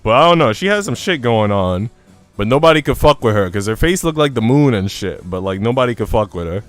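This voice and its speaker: Clear-voiced man streaming casually over music. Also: medium pitch, big pitch swings, brisk. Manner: slangy, profane, joking. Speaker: live streamer. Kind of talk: casual chat while streaming a visual novel.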